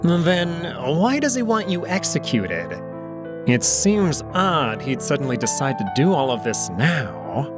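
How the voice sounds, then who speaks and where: A man voicing a smug, composed jackal nobleman with a formal, measured way of talking. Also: on the high side, sing-song, over music. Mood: calm, probing.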